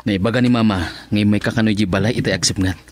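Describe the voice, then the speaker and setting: A man's voice: fairly low pitch, steady tone, fast, lightly acted. An actor playing a sheepish, lovelorn young man in a radio drama, hesitant, with an Ilocano Filipino accent. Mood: wry. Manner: hesitant, chuckling between phrases.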